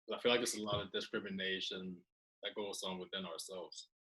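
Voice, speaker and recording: A man hosts a casual interview with a very deep voice that still sounds bright and forward. He talks fast, in an easygoing way that backs up his guest. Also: level tone, rough recording. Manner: easygoing, affirming, quick. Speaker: podcast interview host.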